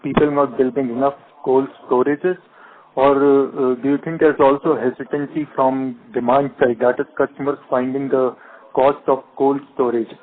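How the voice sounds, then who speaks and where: A man with a medium-pitched voice puts questions on a conference call. He is a polite analyst who sometimes stumbles into his sentences. The sound is thin, narrow telephone audio. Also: level tone, moderate pace. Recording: thin phone line.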